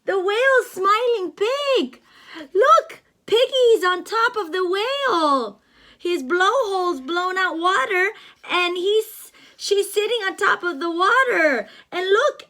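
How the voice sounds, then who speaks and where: A woman reads a picture book to a young child, pausing often to gasp with delight and coax him to look and answer. Her high voice leaps up and down in wide, lively swings. She takes her time, drawing every word out very slowly. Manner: animated, gasping and gently coaxing. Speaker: read-aloud storyteller.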